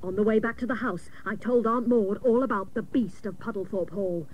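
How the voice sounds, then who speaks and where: A woman's voice: medium pitch, moderate pace, darkish tone, natural voice. Woman playing a mock-dramatic lady detective on noisy old cassette. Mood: mock-dramatic narrating. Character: hapless, literal-minded female private detective narrating her case. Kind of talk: comic radio serial narration.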